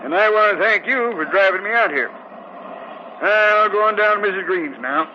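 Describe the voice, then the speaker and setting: Radio play: folksy old landlord, high-pitched, plain-spoken drawl, dull noisy vintage recording. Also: male voice, animated, moderate pace. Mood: folksy, friendly. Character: folksy old country local who rents out houses. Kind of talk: old-time radio drama acting.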